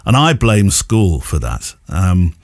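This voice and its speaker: A man's voice: sits low, very animated, slow, creaky, darkish tone, dry recording, studio quality. A man hosting a podcast in a casual, rambling, enthusiastic and self-deprecating way. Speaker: podcast host. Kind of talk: casual chatty podcast presenting.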